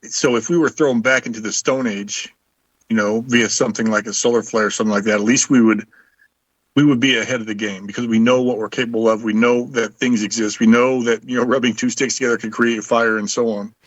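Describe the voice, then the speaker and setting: A man's voice: medium pitch, steady tone, brisk, dry recording. Man chatting, dull dry recording. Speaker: show participant. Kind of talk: casual chat. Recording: dull, dry lo-fi remote audio.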